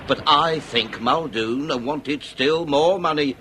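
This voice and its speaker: A man's voice: on the high side, slow, bright, forceful tone, slight background noise. A man acting a shrewd, relentless Victorian police inspector in a period radio drama, with formal, declamatory delivery. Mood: measured, accusing. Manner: formal, declamatory, theatrical interrogating. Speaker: actor.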